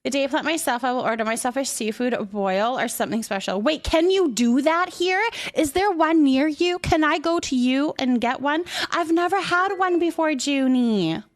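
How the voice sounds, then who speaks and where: High-voiced, bubbly woman live streamer. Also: normal speed, few pauses. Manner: bubbly, affectionate, chatty. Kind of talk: casual livestream chat, greeting viewers.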